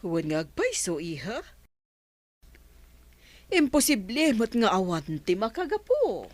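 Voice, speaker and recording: A woman's voice: mid-range voice, huge pitch swings, slow pace, darkish tone, no room sound, heavily acted. An actress playing a concerned, probing middle-aged mother in a radio drama, with a Filipino accent. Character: gently probing, concerned mother of a grown daughter.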